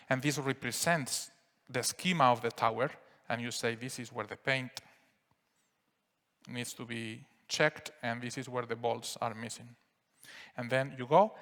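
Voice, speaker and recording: A man with a Spanish accent giving a relaxed live software demo at a conference, explaining steps with frequent fillers. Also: medium pitch. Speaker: software presenter. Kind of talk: live product demo talk. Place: conference hall. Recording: reverberant conference hall.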